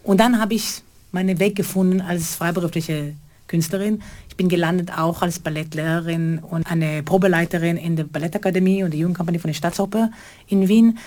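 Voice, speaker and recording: Middle-aged choreographer, earnest and halting interview answers, lowish voice. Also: female voice, steady tone, a little mellow. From Israel. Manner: halting, non-native grammar, earnest. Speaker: choreographer and theater co-founder.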